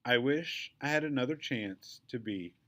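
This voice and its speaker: A man's voice: medium pitch, lively intonation, measured pace, bright, forceful tone. Man reading a children's story aloud. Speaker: reader of a children's book.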